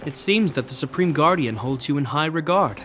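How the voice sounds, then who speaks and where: An actor playing a polite, dutiful young military officer in a video game, earnest and formally courteous. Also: male voice, a little high, expressive, fairly quick, fairly bright tone, soft background music.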